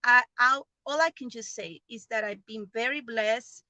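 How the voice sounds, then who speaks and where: A woman speaks English as a second language with a foreign accent. Her voice is fairly high and strikingly bright, recorded close and dry. She tells her story earnestly and informally, halting and repeating phrases as she goes. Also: no room sound, lo-fi sound. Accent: non-native English. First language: not English. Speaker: online business member giving a testimonial. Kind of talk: informal personal testimonial.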